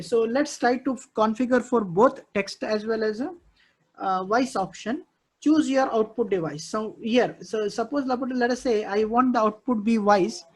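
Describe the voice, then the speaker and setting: A male instructor with an Indian English accent, walking students through a live technical class, often repeating himself and checking "okay, understood?". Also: very high voice.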